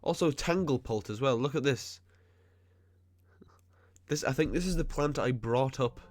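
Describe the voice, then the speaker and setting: A man with a cold and a blocked, nasal voice, chatting casually while commenting on a game he is playing. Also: mid-range voice. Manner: nitpicking, chatty, quick asides. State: stuffy nose from a cold. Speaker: gaming video host.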